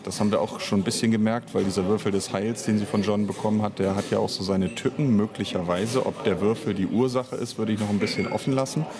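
A man's voice: lowish voice, steady tone, fast talker, hardly any pauses, a crowd in the background. A man speaking as an interview guest in casual conversation, searching for words as he explains at length. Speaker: interview guest, audio drama author and director.

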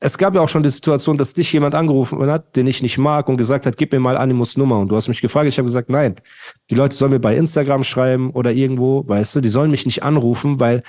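Young man, podcast co-host, heated ranting, insulting, interrupts, mid-pitched voice. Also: fairly even intonation, quick pace, a little mellow. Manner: heated, insulting, talks over the other. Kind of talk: casual podcast chat and rant.

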